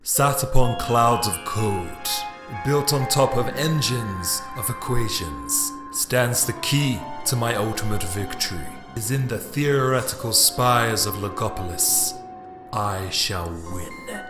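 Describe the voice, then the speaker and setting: A man performs a scheming emperor villain in an audio drama, grandiose and menacing. His low voice rolls out portentous speeches of conquest. It echoes under prominent music. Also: lively intonation, slow pace. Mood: gloating. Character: scheming, grandiose male emperor villain, hungry for ultimate power. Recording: echoing voice under loud music.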